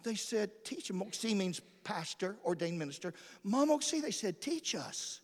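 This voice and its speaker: High-voiced male preacher in a reverberant hall. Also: big pitch swings, a little slow. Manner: emphatic, dramatic, repeats phrases for effect. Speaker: missionary preacher. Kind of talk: storytelling sermon. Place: large church or hall. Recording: hall reverb from a large room.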